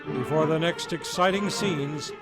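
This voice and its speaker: A man's voice: fairly high pitch, a little slow. A man narrating a radio drama from a script, as storyteller. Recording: loud music under the voice. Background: loud music.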